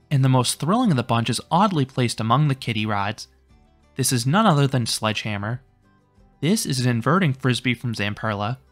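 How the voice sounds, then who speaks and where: Man narrating a theme park review. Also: mid-range voice. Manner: even, matter-of-fact, informative. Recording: voice-over with faint music behind. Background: faint music.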